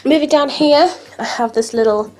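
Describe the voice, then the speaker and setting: A woman's voice: high-pitched, normal speed, darkish tone. A young woman with a slightly nasal, stuffy voice from hay fever, chattily showing off her beauty room in a casual home vlog. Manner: chatty, gushing, rambling. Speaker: beauty vlogger.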